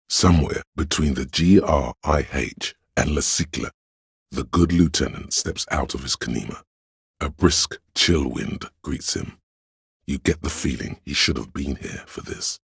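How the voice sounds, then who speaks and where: A man playing a solemn, brotherly inner voice of police instinct in a video game, narrating in a measured, brooding, literary way with a gravelly, husky tone. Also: very deep voice, dry recording, studio quality, heavily acted. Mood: brooding, hushed. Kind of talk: scripted second-person game narration.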